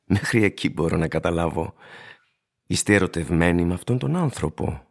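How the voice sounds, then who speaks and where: An actor playing an ageing, plain-spoken shoe shiner in a radio play, courteous and gravely cautioning, with a husky, gravelly voice. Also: male voice, low-pitched, animated, exaggerated voice. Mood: earnest urging. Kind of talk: radio drama acting.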